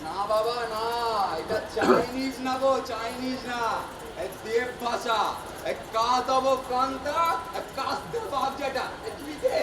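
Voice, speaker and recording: Man acting blustering old God, very high-pitched, echoey stage. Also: fairly even intonation, a little slow. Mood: wary. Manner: stammering, repetitive, pompous when addressing crowds. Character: flustered, blustering old male God, comically self-important. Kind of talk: comic Bengali stage play acting. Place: theatre stage at a drama festival. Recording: echoey distant stage recording, noisy audience.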